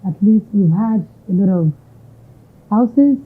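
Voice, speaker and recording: A Nigerian businesswoman talks through her story in an interview, easily slipping Yoruba phrases into her English. Her voice is husky and of middling pitch. The recording sounds thin and phone-like. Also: expressive, takes it slowly. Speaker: entrepreneur, interviewee. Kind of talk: conversational interview answers. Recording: tinny narrow-band audio.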